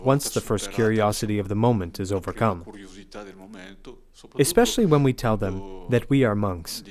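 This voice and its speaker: A man's voice: lowish voice, lively intonation, moderate pace, darkish tone. A man reading a scripted voice-over translation for a documentary report. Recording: music bed under the voice. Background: music.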